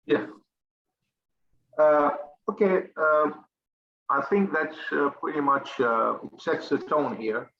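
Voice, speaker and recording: Gravelly man on a dull call line. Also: fairly high pitch, slow pace, pauses often. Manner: hesitant, with many fillers. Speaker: webinar moderator. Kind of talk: moderating a panel. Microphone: webinar call microphone. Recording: dull lo-fi call audio with faint hiss.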